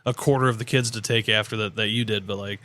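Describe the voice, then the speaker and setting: A man's voice: lowish voice, fairly even intonation, quick pace, a bit bright. A man co-hosting a sports podcast, bantering casually with wry, slangy remarks. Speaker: podcast co-host.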